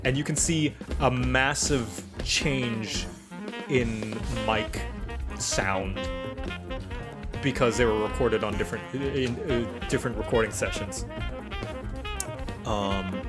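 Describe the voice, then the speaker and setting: A man's voice: lowish voice, slow, long pauses. Man thinking aloud over music. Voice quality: slight stutter. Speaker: streamer and animator. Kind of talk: casual livestream chat explaining a process. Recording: music playing behind.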